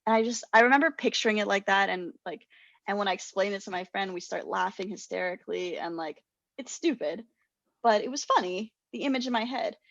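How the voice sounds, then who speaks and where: A woman's voice: medium pitch, fairly quick, creaky, a bit bright, no echo, dull audio. Chatty woman telling a rambling story. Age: young adult. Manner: rambling, self-deprecating, laughs a lot. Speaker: podcast co-host. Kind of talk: casual storytelling chat.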